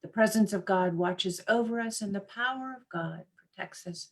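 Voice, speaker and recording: A woman minister speaks at an even, middle pitch as she hosts a church service over a video call. She thanks her guest gently and leads the congregation through prayers aloud. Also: moderate pace.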